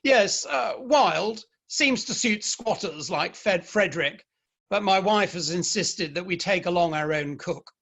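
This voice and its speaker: Male actor as modest Victorian man of letters, middle-aged, wry, slightly high-pitched, in a staged reading. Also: measured pace, vocal fry, fairly bright tone, full character voice. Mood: conversational, musing. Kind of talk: dramatic play reading.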